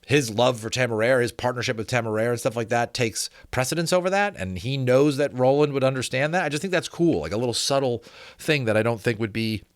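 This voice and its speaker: A man's voice: medium pitch, no room sound, studio quality. A man narrating a story expressively as a reader, with casual commentary.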